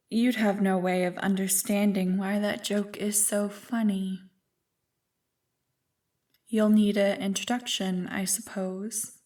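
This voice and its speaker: An ancient evil spirit voiced by a woman in an ASMR roleplay, with a medium-pitched feminine voice. She speaks slowly and deliberately, teasing and taunting with seductive, smug condescension. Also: darkish tone, far from the mic. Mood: smug, mocking.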